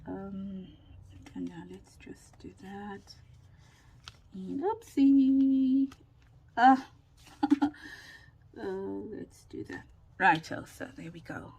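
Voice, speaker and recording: A woman still recovering from a lost voice talks her viewers through a stamping project at an unhurried, slow pace. Her speech is loose and chatty, full of ums and trailing thoughts, and she sometimes slips into a near whisper. A faint hiss sits under the recording. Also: medium pitch, animated, gravelly, a little mellow, highs cut off. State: recovering from lost voice, sore throat. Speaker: craft vlogger. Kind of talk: casual crafting tutorial chat.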